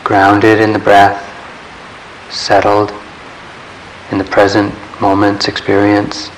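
A man leading a guided meditation in a calm, measured way, leaving long pauses between short phrases. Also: lowish voice, low-quality recording. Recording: steady background hiss.

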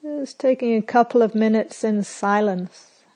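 A woman meditation teacher leading a guided meditation in a soothing, measured way, with pauses and phrases that end softly. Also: fairly high pitch.

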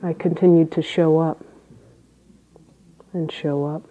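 A woman Zen teacher with a low voice, giving an unhurried dharma talk with halting phrases and long pauses. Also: fairly even intonation, mellow, highs cut off.

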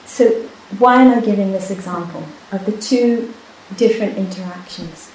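Brief: Hebrew-speaking woman, meditation teacher, halting, reflective delivery; boomy retreat-hall recording. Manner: soft-spoken, unhurried, with many pauses and restarts. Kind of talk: dharma talk with personal story. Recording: echoey hall, faint hiss.

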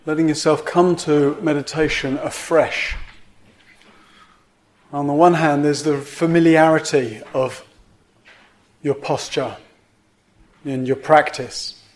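A man teaching meditation, guiding a sitting in gentle, measured phrases with pauses between them. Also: on the high side, steady tone. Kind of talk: guided meditation instruction.

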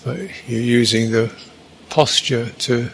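A man teaching a guided meditation, speaking in short, halting phrases with long pauses between them. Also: mid-range voice, little pitch movement, a little hiss or hum.

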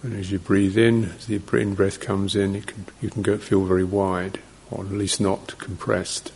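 A man teaching guided meditation, speaking in short, gentle fragments with long pauses between them. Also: deep voice, flat delivery, gravelly, slightly rough.

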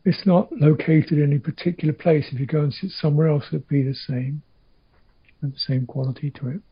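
A man teaching a guided meditation in short, reflective phrases with long pauses, his voice gravelly and husky. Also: fairly high pitch, steady tone, lo-fi sound.

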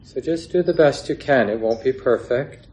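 A man teaching a guided meditation in a gentle, unhurried way, pausing between short phrases. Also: mid-range voice. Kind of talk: guided meditation instruction, unscripted.

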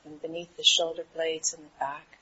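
A woman meditation teacher guiding a relaxation in a soothing, unhurried manner with trailing pauses. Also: sits low, level tone, pushed and bright. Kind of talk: guided meditation. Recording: dull, muffled recording with clear background noise.

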